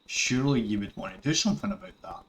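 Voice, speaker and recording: A man's voice: mid-range voice, big pitch swings, fast talker, a bit bright. A middle-aged Scottish man giving rambling casual commentary over gameplay, correcting himself and chuckling as he goes. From Scotland. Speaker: gaming YouTuber.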